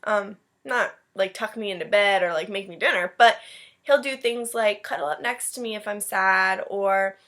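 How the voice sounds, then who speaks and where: A woman's voice: mid-range voice, normal speed, bright, forceful tone. A woman reading a storybook aloud as a teacher, adding chatty, encouraging asides in simple words for children. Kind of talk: animated storybook read-aloud with casual asides.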